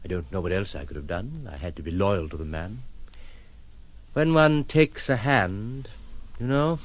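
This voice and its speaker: An actor in an audio drama playing a detached, world-weary gentleman who speaks in eloquent, formal, ironic phrases. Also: fairly low pitch, expressive, unhurried. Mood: reflective. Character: wry, detached gentleman recluse, playfully philosophical and world-weary.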